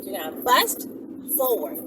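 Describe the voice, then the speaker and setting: A woman in her thirties giving casual, emphatic instructions to camera, often checking in with a quick "okay?" or "right?". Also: medium pitch, huge pitch swings, loud music behind the voice. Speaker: vlogger giving advice. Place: inside a moving car. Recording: loud car and road noise, distant mic.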